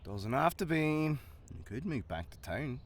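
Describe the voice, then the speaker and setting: A man playing a wry, protective fantasy guardsman in an audio roleplay, with a theatrical, mock-pompous manner and a husky voice. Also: fairly high pitch, big pitch swings, measured pace, a little hiss or hum. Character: male fantasy head guard's deputy, teasing, protective, exasperated friend.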